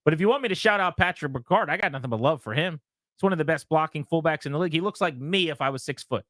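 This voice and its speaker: A man hosting a sports talk radio show who argues his points in an animated, argumentative way. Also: fairly high pitch, racing, no room sound. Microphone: studio mic.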